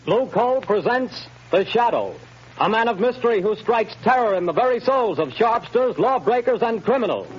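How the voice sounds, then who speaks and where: A man's voice: high-pitched, animated, normal speed, over music. A man announcing in formal, declamatory 1930s radio style. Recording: muffled 1930s radio broadcast.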